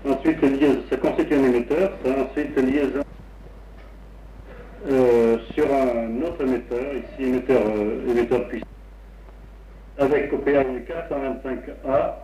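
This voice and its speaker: A man, a radio amateur, chatting on air about his equipment with many pauses and hesitations. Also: mid-range voice, fairly even intonation, dark tone, slight background noise. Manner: rambling, technical, many hesitations. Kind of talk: casual on-air radio chat. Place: home radio station. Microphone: crystal broadcast-style microphone about a metre away. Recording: roomy, distant, dull 1960s AM radio recording.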